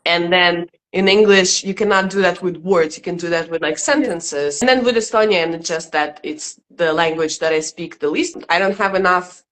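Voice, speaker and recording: A woman's voice: fairly low pitch, normal speed, hardly any pauses, fairly bright tone. A young woman comedian with a Russian accent, chatting casually as an interview guest with dry, irreverent humor. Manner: dry, irreverent, joking asides.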